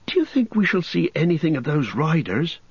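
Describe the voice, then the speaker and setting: A man's voice: a little high, lively intonation, moderate pace, slightly rough, no room sound, highs cut off, voice pushed up. A man voicing a cheerful, talkative young male hobbit in a storybook reading.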